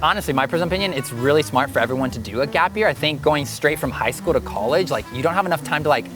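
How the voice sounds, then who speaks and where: Upbeat young man, slightly high voice. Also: expressive, rapid-fire, music in the background. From Dominican Republic. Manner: earnest and upbeat, yelping at the cold. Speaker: guest. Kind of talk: casual interview chat.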